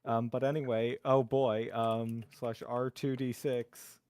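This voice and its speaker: A man's voice: medium pitch, flat delivery, slow, a crowd in the background. A man chatting casually as a player in a tabletop roleplaying game, self-deprecating and a bit theatrical.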